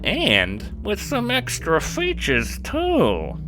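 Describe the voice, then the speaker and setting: A performer voicing a manic, eager-to-please puppet sprite in an audio drama, with a shrill cartoonish squawk. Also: wildly swooping pitch, drawn-out words, bright, forceful tone, a little hiss or hum, exaggerated voice. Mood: excited, proud. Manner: gleeful, fawning, calls listener boss.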